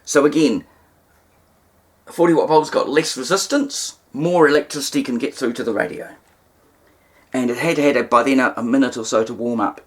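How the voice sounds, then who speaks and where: A man with a medium-pitched voice presents a practical demonstration in an informal style. He explains each step as he goes, somewhat hesitantly, like a hobbyist showing how something is done. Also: normal speed, pauses often.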